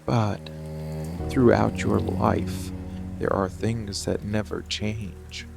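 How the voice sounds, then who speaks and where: Man acting a gravelly, slow-murmuring monster boyfriend. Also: steady tone, heavily acted. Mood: intimate, knowing. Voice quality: low, gravelly, breathy murmur often near a whisper. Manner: slow, deliberate delivery with long pauses. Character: possessive dark monster boyfriend, hidden protective watcher. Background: rain and music.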